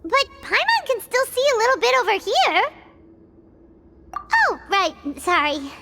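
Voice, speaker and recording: A woman's voice: huge pitch swings, measured pace, gravelly, very noisy, full character voice. A woman voicing a small floating female fairy companion in a video game, with a chirpy, childlike manner. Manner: squeaky, bouncy, childlike.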